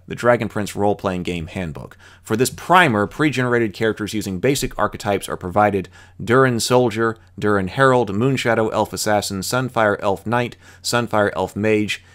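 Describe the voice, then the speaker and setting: A man hosting his channel, reading a tabletop game's rules aloud and thinking out loud about them in asides. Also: lowish voice. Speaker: channel host. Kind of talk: reading and explaining game rules.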